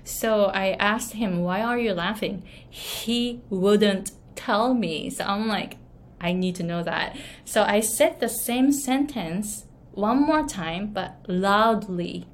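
A woman's voice: mid-range voice, slow. A woman in her thirties from Japan with a Japanese accent, a pronunciation coach chatting casually and laughing often as she tells a story. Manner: laughs often, many fillers and restarts. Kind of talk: casual talk, storytelling tips.

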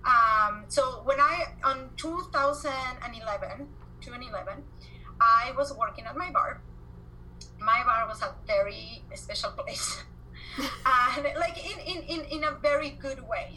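A woman in her forties from Argentina with an Argentine accent, a guest on a podcast interview, telling stories in an animated way and repeating words as she goes. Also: fairly high pitch, a little slow, a little hiss or hum, poor audio. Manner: animated storyteller, repeats words when excited. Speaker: guest entrepreneur.

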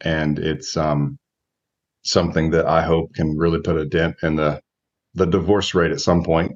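A man in his forties giving measured, reflective answers as a guest coach in an interview, thinking aloud as he goes. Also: rumbling low, little pitch movement, lo-fi sound. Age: 40s.

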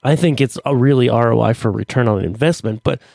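A man hosting his own podcast in a chatty, rambling way, full of fillers, his voice stuffy and hoarse from allergies. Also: medium pitch, a little fast, darkish tone, no echo, studio quality. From Middle Tennessee. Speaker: podcast host. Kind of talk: solo podcast talk.

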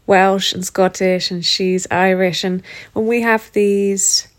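A woman's voice: medium pitch, level tone, slow. A woman hosting a podcast interview in a casual, affectionate way, calling her guest and listeners love. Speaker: podcast host. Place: rural home in the countryside.

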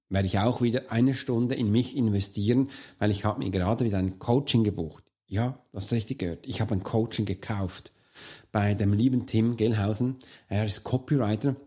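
A hoarse man, a coach and podcast host, talking earnestly and straight to the listener in a solo motivational monologue. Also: fairly low pitch, mellow.

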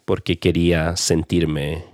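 A man tutoring Spanish in a casual chat, correcting gently with soft affirmations. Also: low voice, little pitch movement, slow pace, dry recording, clean studio sound. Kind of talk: casual language-learning chat.

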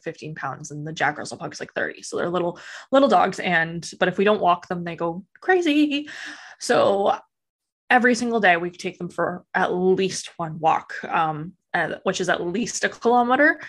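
A woman from Alberta with a Western Canadian accent, chatting informally and ramblingly as a podcast guest. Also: fairly low pitch. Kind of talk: casual interview chat.

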